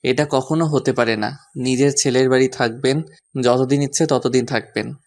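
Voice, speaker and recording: A man's voice: medium pitch, steady tone, normal speed, a little mellow, lightly acted. A man playing a devoted, earnest young husband in a romantic audio drama. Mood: respectful, earnest.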